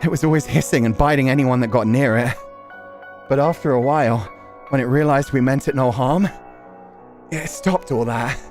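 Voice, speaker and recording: A man's voice: medium pitch, normal speed, pauses often, darkish tone, soft background music, pitched above the performer's own voice, natural voice. A man voicing a blunt, exasperated but protective young man in dialogue from a visual novel. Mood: strained, soothing. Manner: blunt, cursing, coaxing. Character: rough-tongued young man, exasperated yet protective of a girl.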